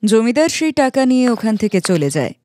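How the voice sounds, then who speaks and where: Female storybook narrator: slightly high voice, scripted delivery, dry close sound. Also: sing-song, fairly quick, dark tone, no room sound. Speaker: narrator of children's moral stories.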